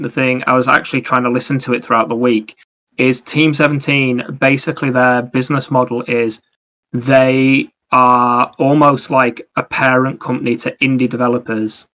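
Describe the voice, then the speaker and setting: A man's voice: mid-range voice, pushed and bright, highs cut off. A man chatting casually as a podcast co-host about video games, explaining things in a rambling, anecdotal way.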